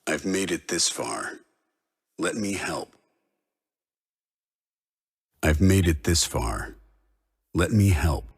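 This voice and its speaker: Deep, gravelly, echoing warrior. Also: male voice, expressive, slow pace, pauses often, heavily acted. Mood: solemn resolve. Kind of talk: video game character acting. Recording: long hall-like reverb.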